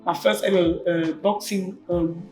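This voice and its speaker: A woman's voice: fairly low pitch, measured pace. Woman from Cameroon, echoey room. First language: French. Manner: informal and animated, slangy asides. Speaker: interview guest, boxer. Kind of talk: casual interview chat. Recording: echoey room with faint music behind.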